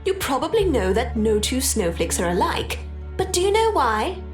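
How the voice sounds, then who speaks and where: An actress voicing an eager, animated young woman who explains things in a video game. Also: high-pitched, moderate pace, echoey room, soft background music. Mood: eager, explanatory. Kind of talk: video game character acting.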